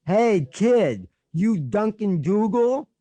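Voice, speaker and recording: A man voicing a huge, nasty eighth-grade bully in an audiobook, talking in a slow, taunting, menacing way. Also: high voice, big pitch swings, dark tone, no echo, pitched above the performer's own voice, full character voice.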